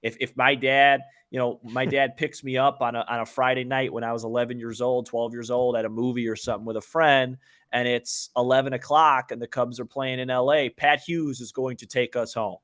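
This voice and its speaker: A man's voice: mid-range voice, steady tone, fairly quick, fairly bright tone, no room sound, studio quality. A man co-hosting a sports podcast in casual talk, repeating words and often checking that the listener follows. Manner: repeats words, checks in with "you know what I'm saying".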